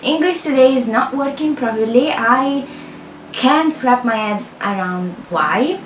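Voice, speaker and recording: Young Italian woman, bright-pitched, chatty and meandering, home voiceover with slight echo and faint noise. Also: animated, measured pace. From Italy. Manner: rambling, self-correcting, chatty with small laughs. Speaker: game builder and video creator. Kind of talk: casual voiceover over gameplay.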